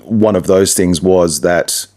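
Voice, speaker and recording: A man's voice: low voice, takes it slowly, darkish tone. A middle-aged man with an Australian accent, talking casually as a radio presenter in an interview.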